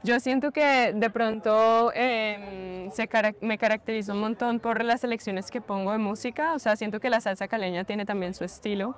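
A woman's voice: fairly high pitch, steady tone, normal speed, hardly any pauses. A woman from Cali, Colombia, a salsa selector and collector talking casually with lots of fillers. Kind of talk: casual interview talk.

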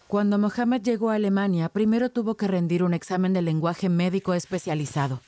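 A woman's voice: medium pitch, fairly even intonation, a little fast, warm tone, a little hiss or hum. A woman reading scripted narration as the narrator of a documentary.

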